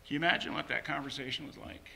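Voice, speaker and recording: A man's voice: on the high side, fairly even intonation, rapid-fire, gravelly, pushed and bright, slight background noise, poor audio. A man preaching a sermon as a pastor, emphatically repeating short phrases.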